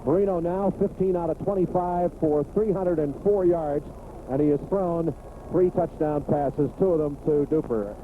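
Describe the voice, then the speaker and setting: A high-voiced American man delivers live football play-by-play in short, clipped phrases that build into excited calls. The sound is a tinny 1980s radio broadcast with clear noise behind him. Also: moderate pace.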